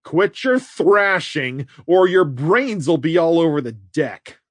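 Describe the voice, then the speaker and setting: A man voicing a cruel male villain who speaks in gruff, mocking taunts. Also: fairly high pitch, very animated, slow pace, no echo, pitched above the performer's own voice, exaggerated voice. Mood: menacing.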